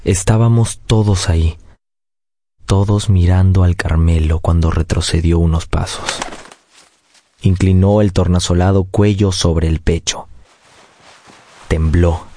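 An actor narrating a radio drama as a grown man recounting his childhood, in a measured, solemn and tender way. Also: low voice, steady tone, mellow. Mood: solemn, wistful.

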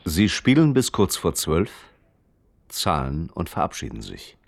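Radio drama narrator, low-pitched, detached and observant, precise, dry wording, varied intonation. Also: male voice, huge pitch swings, measured pace, vocal fry, heavily acted.

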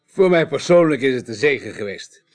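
An actor plays a humane undertaker in a radio play. His mid-pitched voice is measured and reflective, with a dry, gently amused edge. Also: big pitch swings, natural voice. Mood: wry, amused. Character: humane, wry male undertaker, a former parliamentarian. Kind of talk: naturalistic Dutch radio drama acting.

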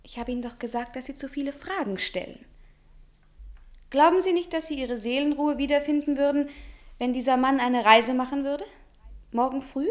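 An actress in a German radio play playing a shrewd, self-assured young woman with a deliberate, persuasive way of talking. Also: high voice, close to an everyday voice. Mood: cool, insistent.

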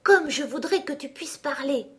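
A woman voicing a curious, polite young girl who is easily astonished, in an expressive children's storybook reading. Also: high voice, moderate pace, voice pushed up.

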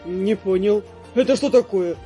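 Man playing a high-voiced, whiny young robber. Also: normal speed, dark tone, soft background music, lightly acted. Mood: baffled, offended. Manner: whiny, blustering complaints. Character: indignant, sulky young male robber, easily offended.

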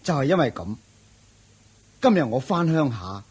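A male actor playing a sly, newly rich man who was once scorned, in a Hong Kong Cantonese radio drama, with a husky voice. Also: medium pitch, big pitch swings, normal speed, darkish tone. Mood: reflective, aggrieved. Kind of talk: Buddhist radio drama acting.

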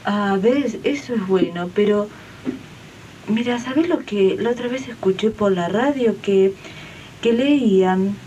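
A woman's voice: medium pitch, measured pace, mellow, muffled recording, natural voice. A woman playing a hesitant, colloquial older domestic worker with an Argentine accent in an amateur radio drama. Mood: eager. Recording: slightly distant, faint background noise.

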